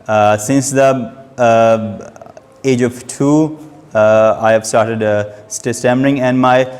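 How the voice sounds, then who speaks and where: Young man, stammering speaker, slow and halting, hall reverb. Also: medium pitch, fairly even intonation, a little mellow. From Pakistan. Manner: stammers, with frequent hesitations and repeated sounds. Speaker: club member giving a speech. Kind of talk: prepared personal speech.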